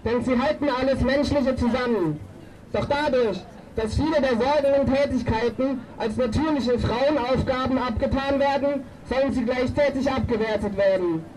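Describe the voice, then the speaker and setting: A woman's voice: high-pitched, normal speed. A woman speaking as a feminist activist at an outdoor demonstration, delivering a combative, declamatory political speech. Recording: distant outdoor microphone, loud street noise.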